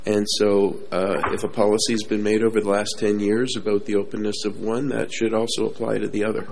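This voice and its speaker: A man's voice: on the low side, flat delivery, brisk, gravelly, a little mellow, a little hiss or hum, low-quality recording. A man giving unscripted remarks as a panelist.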